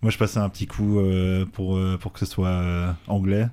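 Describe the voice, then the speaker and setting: A young man's voice sits very low, his answers halting and full of fillers as he explains his band's work. He talks casually, restarting his sentences as he searches for words. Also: little pitch movement, mellow, clear, resonant tone. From France. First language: English. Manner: hesitant, many fillers and restarts. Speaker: band singer, interview guest. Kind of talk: casual radio interview.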